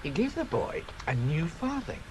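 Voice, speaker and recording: A man's voice: on the high side, wildly swooping pitch, normal speed, noisy background. An actor playing a wry Scottish local man in a British radio drama, telling things in a hesitant, ruminative way. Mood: musing, confiding.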